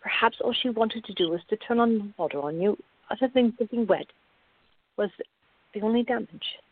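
Tinny, husky, patient woman played by an actress. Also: medium pitch, natural voice. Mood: firm. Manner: measured, placating, explanatory. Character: calm, reasoning woman, patient and gently firm partner. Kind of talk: radio drama acting. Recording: tinny, band-limited, echoey room.

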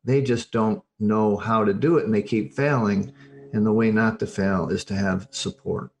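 A man's voice: lowish voice, fairly even intonation, moderate pace, a little hiss or hum. An older man giving explanatory interview answers as a recovery coach, emphatic and plain-spoken, stressing his points in short bursts.

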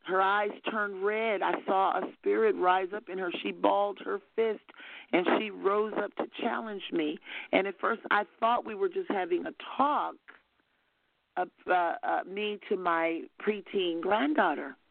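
Older woman, gravelly fervent testimony over phone. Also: mid-range voice, measured pace, no room sound. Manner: fervent, storytelling, soft-spoken. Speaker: minister giving testimony. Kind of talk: spoken testimony on a call-in broadcast. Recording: phone-line audio.

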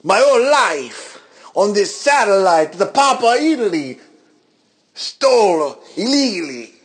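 A man's voice: sits high, big pitch swings, measured pace, breathy edge, heavily acted. A man voicing a boastful Russian heel wrestler, cutting a menacing promo in a put-on accent with broken English.

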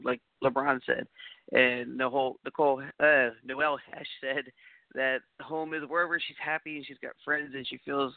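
A man's voice: medium pitch, creaky. A man hosting an interview, asking friendly, rambling questions in a casual chat. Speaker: interview host. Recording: tinny phone-line sound.

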